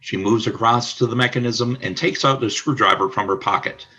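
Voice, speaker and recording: A man narrating a scripted radio play as announcer, in a formal, deliberate storytelling manner. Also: medium pitch, pushed and bright, slightly rough.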